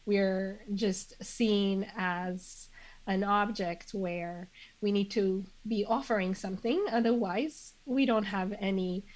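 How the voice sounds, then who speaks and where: A woman guest with a congested voice, speaking earnestly and in measured phrases, with many fillers. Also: medium pitch, fairly even intonation, a little mellow, rough recording. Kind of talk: reflective conversational interview.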